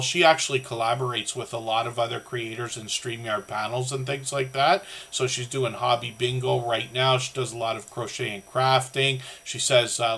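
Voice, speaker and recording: A Canadian man hosts a casual livestream in a bright, forward voice with a slight scratchiness. He rambles amiably, speaking directly to the people in his chat. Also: medium pitch. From southern Ontario, Canada. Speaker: livestream host of a creator community channel.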